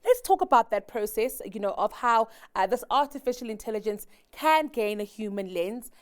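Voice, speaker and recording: A woman's voice: a little high, animated, normal speed, smooth. A woman interviewing a guest on a business TV channel, framing long thoughtful questions with some hesitation.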